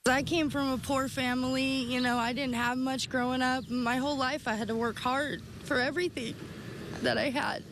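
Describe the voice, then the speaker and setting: With faint outdoor noise behind her, a young woman with an American accent gives an informal interview. Her voice is somewhat high and her talk is plain and conversational. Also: fairly even intonation, normal speed. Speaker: news interviewee.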